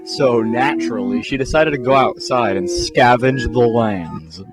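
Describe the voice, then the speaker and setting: A man's voice: medium pitch, unhurried. A man narrating a comic fan-fiction story in a theatrical, mock-serious way. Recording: music behind the voice.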